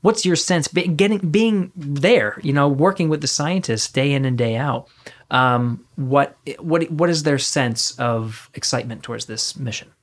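A man's voice: a little high, a little slow. A man hosting an interview podcast in a conversational style, often rephrasing as he goes. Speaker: podcast host.

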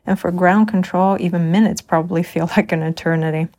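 A woman's voice: fairly low pitch, fairly even intonation, brisk, a little mellow. A middle-aged woman, a crew member in a simulated Mars habitat, reflecting thoughtfully and earnestly in an audio log.

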